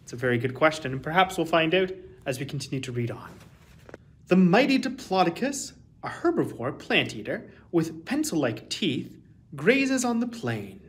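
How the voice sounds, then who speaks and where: Expressive, lively male storyteller. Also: fairly high pitch, moderate pace. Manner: expressive, carefully enunciated storytelling. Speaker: museum storytime host. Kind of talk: reading a children's picture book aloud. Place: small indoor room with shelves.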